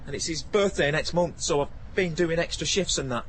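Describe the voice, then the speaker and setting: An actor playing an anxious, earnest working-class dad with a colloquial Northern English accent in a radio play. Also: male voice, a little high, moderate pace, a bit bright, lightly acted. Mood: pleading. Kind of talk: naturalistic radio play acting. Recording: outdoor scene with faint traffic ambience noise.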